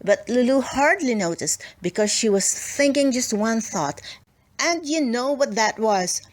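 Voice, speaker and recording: A woman's voice: a little high, expressive, unhurried. A woman narrating a children's storybook expressively, with dramatic emphasis and playful asides. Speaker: storybook reader.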